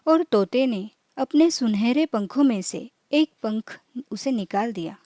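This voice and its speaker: A woman narrating a scripted children's moral story as a storyteller, in measured, clearly phrased reading. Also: fairly high pitch, animated, dark tone, no echo, studio quality.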